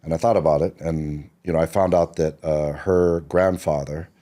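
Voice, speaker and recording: Very deep, mellow-voiced man telling stories. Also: steady tone, moderate pace. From Seattle, Washington. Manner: loose and rambling, many fillers and restarts. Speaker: podcast guest, screenwriter. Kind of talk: relaxed interview storytelling.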